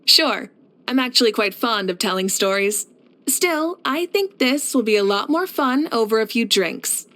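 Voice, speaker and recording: A woman playing a confident, warm young warrior leader in a video game, speaking in a poised, measured, earnest way. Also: a little high, animated. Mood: friendly. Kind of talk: scripted video game character voice lines.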